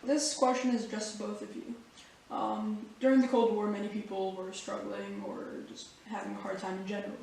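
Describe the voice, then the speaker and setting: A woman's voice: medium pitch, level tone, brisk, vocal fry, darkish tone, slight background noise. A young woman reading out prepared interview questions in a polite, formal manner. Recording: echoey room, far from the mic.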